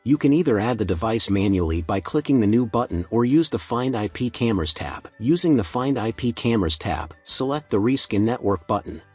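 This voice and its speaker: A man reading a scripted software tutorial in a measured, procedural instructional manner. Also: lowish voice, animated, breathy edge, faint music behind.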